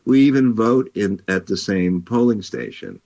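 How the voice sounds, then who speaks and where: A man with a fairly low voice in a dry, close recording. He talks like an editor presenting a book, choosing his words with care and pausing as he goes. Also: vocal fry, a little mellow, no echo, lo-fi sound. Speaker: publisher and editor hosting a book talk. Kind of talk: conversational book talk.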